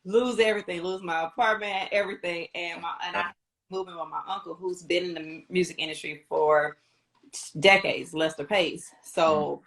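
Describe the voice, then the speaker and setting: Middle-aged American woman, lower-pitched voice, informal interview guest, chatty first-person storyteller. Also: fairly even intonation, moderate pace, fairly bright tone, low-quality recording. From Houston, Texas, United States. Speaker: interview guest, radio promotions representative. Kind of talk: casual conversational storytelling.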